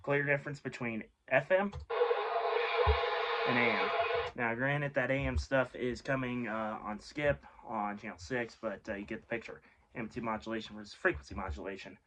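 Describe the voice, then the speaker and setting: A man's voice: medium pitch, steady tone, normal speed, background noise. A man giving a casual, informal explainer as a video host, rambling and offhand, often correcting himself. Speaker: host of a radio hobby video series.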